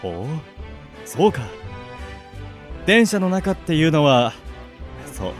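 A man's voice: a little high, very animated, takes it slowly, soft background music. A man playing a pompous, self-adoring legendary love evangelist, grandiose and theatrical, in a comic radio drama. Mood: grand, musing.

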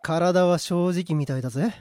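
A man acting a gruff but kind-hearted prison guard in an amateur radio drama, with blunt, slangy talk. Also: high voice, moderate pace, darkish tone, no room sound, natural voice. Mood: gruff kindness.

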